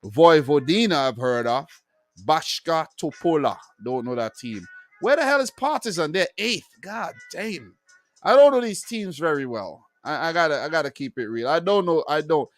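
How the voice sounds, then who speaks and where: A man's voice: fairly high pitch, lively intonation, moderate pace, dry recording. A man hosting a football live stream, talking in a loose, joking way with frequent restarts. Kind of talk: casual live-stream chat.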